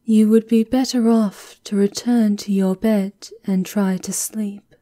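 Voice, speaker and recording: A woman acts as a watchful female castle guard in an ASMR roleplay. Her tone is extremely mellow and hushed, and she talks very slowly, formal and reserved, with stern duty. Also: medium pitch, fairly even intonation, close to an everyday voice. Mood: reserved, cautioning.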